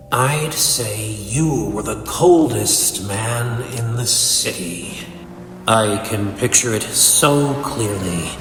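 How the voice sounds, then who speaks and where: A man's voice: medium pitch, close to an everyday voice. A man playing a cold, bitter, cerebral supervillain in a comic dub, speaking in a theatrical, condescending, verbose manner. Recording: echoey hall reverb, faint music behind.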